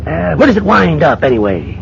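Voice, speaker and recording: An actor playing a shrewd, persistent senator and former pilot, probing in a folksy way with drawn-out pauses, in a radio drama. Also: male voice, wildly swooping pitch, vocal fry, full character voice. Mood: casually probing. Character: shrewd, prying male senator, ex-recon pilot, needling and persistent. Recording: muffled, noisy radio drama with car rumble.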